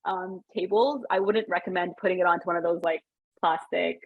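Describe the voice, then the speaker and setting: Chatty woman guest, husky lo-fi sound. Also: lowish voice, fairly even intonation, brisk, no echo. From Sri Lanka, raised in Canada. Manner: chatty, lots of "like" and restarts, laughs easily. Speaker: podcast guest, business founder. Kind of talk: casual interview chat.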